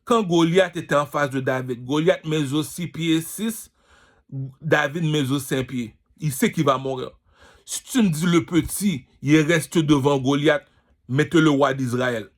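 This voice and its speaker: A slightly high-voiced man rants informally. He is boastful and slangy, telling stories with emphatic repetition and pointed rhetorical questions. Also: a little slow. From Canada, immigrated at age five. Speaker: singer and vlogger. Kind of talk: informal rant with storytelling.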